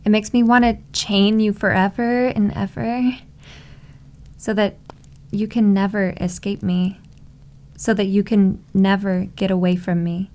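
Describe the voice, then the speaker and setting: An unhurried, medium-pitched voice from a woman acting as a possessive yandere maid in an ASMR roleplay. She coos her endearments slowly and sweetly, teasing and doting with a controlling streak. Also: level tone, darkish tone, lightly acted. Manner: cooing, sugary, drawn-out endearments.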